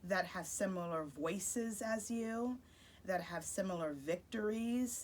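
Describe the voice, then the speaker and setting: Slow-paced woman encouraging young children. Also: lowish voice. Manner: encouraging, gushing. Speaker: guest children's book author. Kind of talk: warm address to young children, read-aloud.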